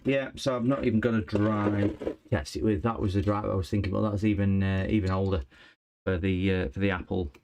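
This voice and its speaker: Man, lowish voice, easygoing repair-stream host who chats to viewers as he works. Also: fairly even intonation, a little fast, a little mellow, a little hiss or hum. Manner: chatty, chuckling, replies to viewers while working. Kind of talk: casual live-stream chat while repairing.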